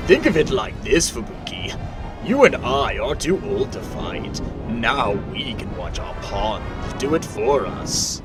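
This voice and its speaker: A man voicing an old leader of a fire nation in an animated fantasy, weighty, deliberate and theatrical. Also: medium pitch, music in the background. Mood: smug. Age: older. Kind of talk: animated fantasy drama acting.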